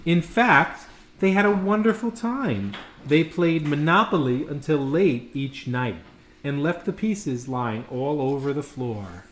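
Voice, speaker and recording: A man reading a children's picture book aloud in a friendly, informal way with deliberate phrasing. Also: on the high side, lively intonation. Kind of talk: read-aloud storytelling with casual asides. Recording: echoey room with faint background noise.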